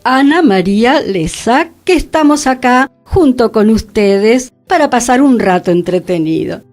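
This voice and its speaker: A woman's voice: a little high, lively intonation, a little slow, a little mellow. A woman with an Argentine accent co-hosting a radio show in a conversational style. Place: radio studio. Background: faint music.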